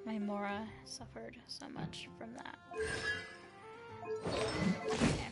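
A woman's voice: on the high side, flat delivery, takes it slowly, vocal fry. A woman casually commentating her live gameplay, thinking aloud in short, sparse remarks. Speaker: live game streamer. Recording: game music behind. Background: game music.

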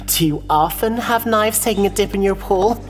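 A woman's voice: mid-range voice, moderate pace, big-room sound, soft background music, close to an everyday voice. A woman playing a shrewd game lawyer in cross-examination, formal and sardonic. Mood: probing.

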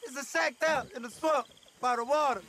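A man's voice: very high voice, fairly quick, pushed and bright, exaggerated voice. An actor playing a frightened man in a western video game, pleading urgently for help. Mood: desperate pleading. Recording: clear outdoor night insect noise.

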